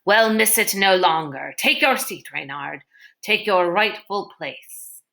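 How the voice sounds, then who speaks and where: A woman's voice: medium pitch, expressive, slow pace, creaky, sharp tone, exaggerated voice. A smug storybook villain, as a woman reads him, purring his orders. He is imperious and commanding, taking his time over the words.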